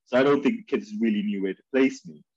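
Man from Pakistan, husky, dry close sound, muffled, thoughtful storytelling with restarts. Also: medium pitch, fast talker, a little mellow, no room sound. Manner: thoughtful, many fillers and restarts. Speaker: interview guest. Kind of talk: reflective conversational storytelling.

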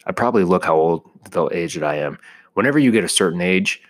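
American guy in his 30s, deep voice, just rambling casually, kinda self-deprecating and jokey. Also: animated, fast. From United States. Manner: joking, self-deprecating exaggeration. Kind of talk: casual rambling solo monologue.

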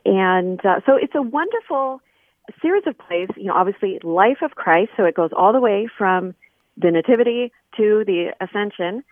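A woman's voice: medium pitch, animated, normal speed, dry recording, narrow, tinny audio. Woman guest on a phone-sounding line, dry, casually explaining stuff in an interview. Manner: conversational, explanatory, informal. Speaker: guest, scholar and editor.

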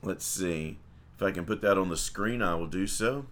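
A priest speaks in a low, unhurried voice. He leads morning prayer on a livestream, chatting in a folksy way and greeting viewers by name. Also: a bit bright, a little hiss or hum.

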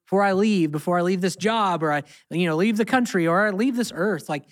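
A man's voice: high-pitched, fairly quick. An American man co-hosting a casual Bible discussion, speaking earnestly and emphatically, often repeating phrases for weight. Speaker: podcast co-host and Bible teacher.

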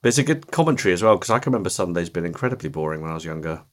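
A podcast co-host with a low voice who talks fast and reacts quickly in casual chat. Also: male voice, animated.

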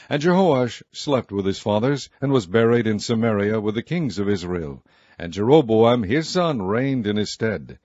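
A man reading scripture aloud in a measured, formal way. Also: mid-range voice, a little mellow, no echo, dull audio. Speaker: Bible reader.

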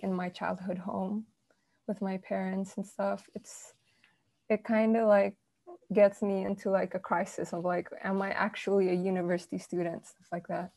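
A young woman, a university student, chatting casually with friends in a rambling way full of fillers, her voice croaky from the early morning. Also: medium pitch, darkish tone, no room sound. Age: young adult. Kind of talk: casual group chat.

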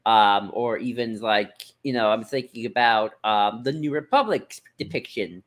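A man talks casually as a podcast co-host. His voice sits at a middle pitch. He rambles loosely, working out his thoughts as he speaks. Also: measured pace, fairly bright tone. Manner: loose, rambling, thinking aloud. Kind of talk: casual chat about books.

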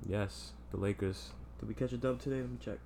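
A young man co-hosting a podcast in casual chat, low-key but firm when he defends his team. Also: fairly even intonation, brisk, gravelly, warm tone, slight background noise. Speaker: podcast co-host.

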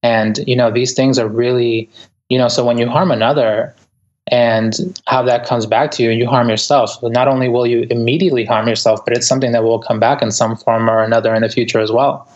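A man from Ecuador whose first language is Spanish, speaking as a guest author in a thoughtful, earnest interview with many soft fillers. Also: medium pitch, fairly even intonation, brisk, dull audio. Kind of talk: reflective interview conversation. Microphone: remote interview over the internet.

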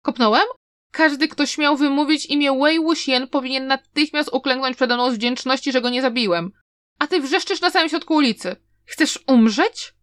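A woman's voice: high voice, moderate pace, fairly bright tone, no echo, studio quality. Woman voicing a cold, arrogant young noble. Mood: haughty. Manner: cold, haughty.

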